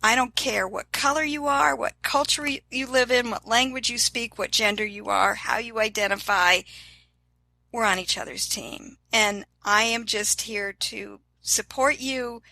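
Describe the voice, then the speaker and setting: A woman's voice: a little high, measured pace, gravelly, pushed and bright, no room sound, poor audio. An older woman talking as an interview guest, upbeat and encouraging in a casual motivational tone.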